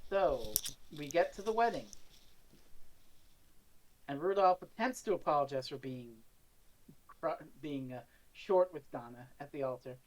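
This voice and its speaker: A woman's voice: low-pitched, animated, measured pace, long pauses, low-quality recording. A woman co-hosting a review, recapping a story in casual chat. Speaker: podcast co-host reviewing an audio drama.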